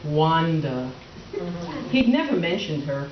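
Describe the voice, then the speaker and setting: A woman playing a prim, quietly resentful middle-aged wife in a stage comedy reading, hesitant and mildly sarcastic. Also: sits low, very animated, slow pace, heavily acted. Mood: dryly resentful. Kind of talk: readers theater stage comedy. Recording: roomy, distant, dull, faintly noisy stage recording.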